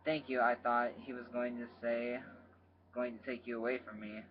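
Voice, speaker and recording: A man's voice: mid-range voice, flat delivery, normal speed, a little hiss or hum, lightly acted. A man reading aloud and voicing a shy, frightened teenage boy who speaks timidly, on a dull lo-fi home recording. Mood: tearful. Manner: timid, soft-spoken.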